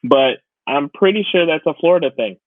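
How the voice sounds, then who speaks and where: A man's voice: on the high side, animated, normal speed, no room sound. A man co-hosting a podcast in casual, conversational chat. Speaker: podcast co-host. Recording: tinny, phone-like, dry sound.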